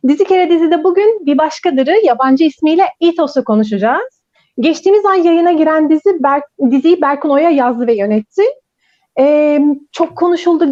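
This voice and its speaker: Turkish native lady hosting a video chat, high voice, kinda muffled audio. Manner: lively, laughs often. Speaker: host. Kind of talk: hosting a live online chat. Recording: dull lo-fi sound.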